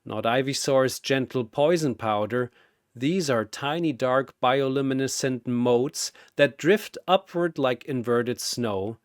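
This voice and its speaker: A man narrating a scripted fantasy battle story dramatically, with theatrical, deliberate phrasing. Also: mid-range voice, no echo, clean studio sound.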